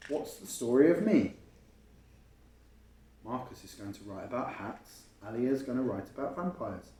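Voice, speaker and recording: A man voicing an earnest, unsure, daydreaming schoolboy from a picture book, with expressive delivery. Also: medium pitch, normal speed, natural voice. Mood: pondering. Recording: roomy, echoing home recording.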